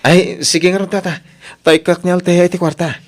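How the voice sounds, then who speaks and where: A man's voice: high voice, steady tone, moderate pace, lightly acted. A man acting as a guilt-ridden, generous young man in an Ilocano radio drama, solicitous and insistent, with an Ilocano accent. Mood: polite.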